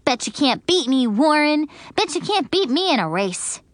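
A sporty little girl shows off in a high, bouncing voice, bragging with excitement, as a woman reads her part. The lines leap up and down in pitch and are recorded dry and close for a storybook. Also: moderate pace, no echo. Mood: excited bragging.

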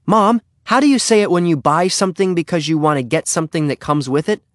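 A man's voice: fairly high pitch, fast talker, dry recording, studio quality, voice pushed up, natural voice. A man voicing an earnest, eager young schoolboy trying to sound businesslike in a storybook reading. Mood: eager, searching. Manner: hesitant, flustered salesmanship.

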